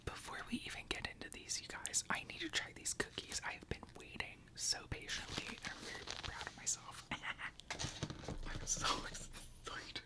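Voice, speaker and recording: A woman whispering casually into a close microphone for an ASMR video, chatty and giggly. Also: moderate pace. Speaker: ASMR creator.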